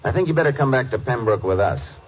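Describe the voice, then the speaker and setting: An actor playing a steady American Texas Ranger, questioning in a measured, laconic way, in 1950s radio drama style. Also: male voice, medium pitch, breathy edge. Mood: firm, questioning. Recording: muffled vintage radio recording.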